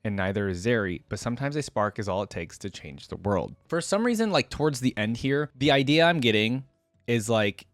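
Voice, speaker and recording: A man's voice: medium pitch, no echo, studio quality. A man reacting and reading a story aloud, moving between narration and casual, informal commentary. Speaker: reaction video host and reader.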